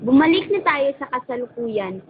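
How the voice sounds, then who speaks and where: A woman's voice: high-pitched, animated, normal speed. A woman reading scripted narration for an amateur radio drama. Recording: dull lo-fi recording with clear noise.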